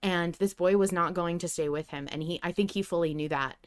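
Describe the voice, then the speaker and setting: Woman cohosting a casual fandom podcast, lowish voice, kinda emphatic and wry with opinions. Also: fairly even intonation, a little fast, clear, resonant tone. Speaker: podcast co-host. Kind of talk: casual discussion of a fiction podcast, reading listener submissions.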